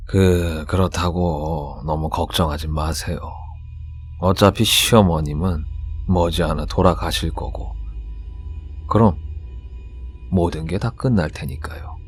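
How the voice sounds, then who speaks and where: A man's voice: sits low, slow, long pauses, a little hiss or hum. A man playing a sly, candid shaman in a horror radio drama, speaking in a knowing, conversational way with dramatic emphasis. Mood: solemn, confiding. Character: sly, candid shaman confiding about vengeful ghosts.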